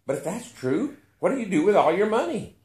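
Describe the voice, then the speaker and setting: A man's voice: sits high, very animated, brisk, a little mellow, reverberant, pitched above the performer's own voice. A man voicing an astonished, naively blunt questioner in a short story, pressing his questions insistently. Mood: insistent, baffled.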